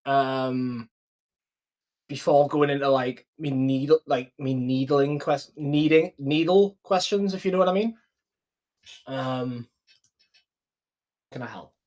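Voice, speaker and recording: A British man with a mid-range voice talks in an informal, slangy way. He sounds like a salesman in relaxed commentary, peppering his speech with "like" and "mate". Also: medium pitch, slow, long pauses. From United Kingdom. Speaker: sales closer reviewing his old call. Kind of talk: casual commentary and sales talk.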